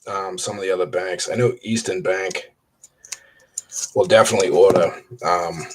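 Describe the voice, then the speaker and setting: A man's voice: sits low, fairly even intonation, normal speed, a little hiss or hum, rough recording. A man chatting casually in a live stream while sorting coins, rambling with many fillers and restarts. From Boston area. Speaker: coin roll hunter streaming.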